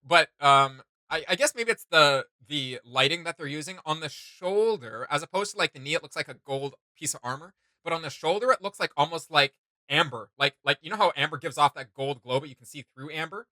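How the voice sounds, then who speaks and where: A man's voice: on the high side, animated, brisk, pushed and bright, no echo. A man hosting a casual reaction commentary, talking in an enthusiastic, rambling way. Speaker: reaction video host.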